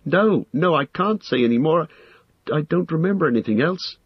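A man voicing a frightened, pleading young male hobbit in a storybook reading, with a shrill, stammering voice. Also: sing-song, a little slow, breathy edge, dry recording, highs cut off, full character voice. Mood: anguished. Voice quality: shrill, strained. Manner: stammering, hesitant.

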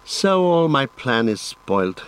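A man voicing a gentle, resolute hobbit gentleman for an audiobook, well-spoken and earnest, chiding yet affectionate. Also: medium pitch, sing-song, slow, clear, resonant tone. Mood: resigned, glad. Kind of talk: audiobook character voice.

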